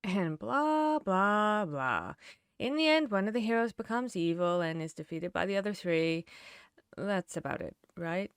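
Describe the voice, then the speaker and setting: A woman's voice: mid-range voice, animated, unhurried, clear, resonant tone, no room sound, studio quality. A woman playing a protective, caring vampire hunter in an intimate fantasy audio roleplay, speaking directly to the listener. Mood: recalling, casual. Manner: intimate, addressing the listener directly, shifting between hushed and urgent.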